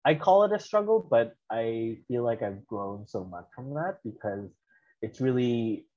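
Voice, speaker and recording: A man's voice: medium pitch, lively intonation, measured pace, mellow, muffled recording. A young man, born in the Philippines and raised in the US, telling his personal story as a podcast guest in a candid, reflective way. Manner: reflective, candid, laughs at himself.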